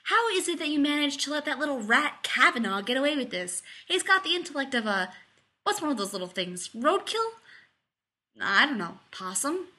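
A woman's voice: a little high, big pitch swings, measured pace, pushed and bright, full character voice. A woman voicing an exasperated, sarcastic male genius who is easily outraged and dramatic, in a story reading. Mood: impatient outrage. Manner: exasperated, dramatic, prone to shrieking.

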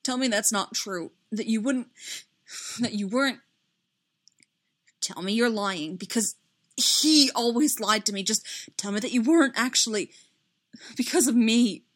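Female reader as impulsive young male hero in story narration: agitated, emphatic, loud, fiercely attached to his friend. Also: medium pitch, very animated, moderate pace, close to an everyday voice. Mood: pleading. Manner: emphatic, loud outbursts, broken repetitions. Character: agitated, hot-headed young man, distraught loyal friend.

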